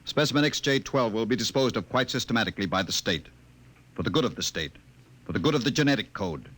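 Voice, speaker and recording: An actor playing a cold, menacing director of a totalitarian state in a 1950s radio drama, speaking formally and with measured authority. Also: male voice, fairly low pitch, animated, a bit bright. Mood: icy, sardonic.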